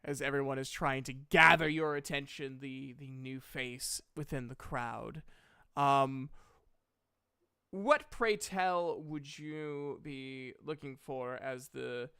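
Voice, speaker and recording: A man improvising narration as game master of a tabletop fantasy game, halting as he thinks aloud, with playful asides. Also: mid-range voice, vocal fry, pushed and bright, clear, resonant tone.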